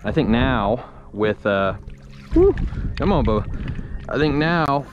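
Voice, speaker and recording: A man's voice: mid-range voice, expressive, takes it slowly, lo-fi sound. A young adult man giving casual commentary as a fishing vlogger, talking into an action camera outdoors. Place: outdoors, on open shallow bay water. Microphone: action camera worn or held close. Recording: outdoor camera with loud wind and water noise.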